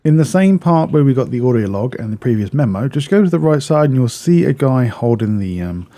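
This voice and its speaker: A man's voice: medium pitch, expressive, a little fast, warm tone. A man narrating a video game walkthrough in a casual, instructional way, explaining step by step and often repeating or correcting himself.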